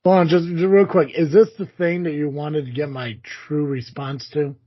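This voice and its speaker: A man with a mid-pitched, slightly husky voice trading sarcastic, profane banter as podcast co-host. The audio is dull and lo-fi. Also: fairly even intonation, normal speed. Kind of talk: casual chat.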